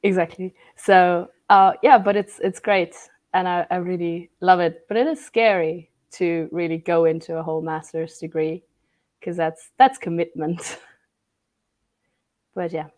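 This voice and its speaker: A young woman with a raspy voice, hoarse from a cold, chatting as a guest on an online stream. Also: fairly low pitch, steady tone, measured pace, a little mellow. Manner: laughs between phrases, self-deprecating. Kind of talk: casual online chat.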